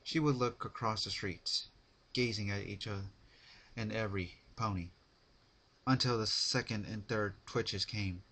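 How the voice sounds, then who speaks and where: A man giving a dramatic scripted story narration, phrased deliberately in short, halting chunks. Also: on the low side, sharp tone.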